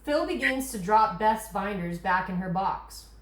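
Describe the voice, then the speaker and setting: A woman reads stage directions as the narrator of a script read. Her pitch is medium, and the room adds clear echo. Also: animated, unhurried. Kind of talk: reading screenplay action lines. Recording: echoey room recording.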